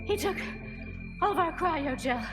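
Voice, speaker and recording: A woman's voice: high voice, animated, a little slow. A woman playing a shaken, grateful robbery witness in a video game, speaking seriously and urgently. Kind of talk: video game cutscene acting. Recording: loud music over speech.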